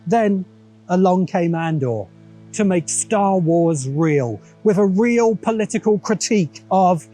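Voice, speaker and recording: A man's voice: high-pitched, lively intonation, takes it slowly, mellow. A man delivering a scripted video essay in an opinionated, dryly sardonic way. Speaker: host of a science fiction commentary show. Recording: music behind the voice. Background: background music.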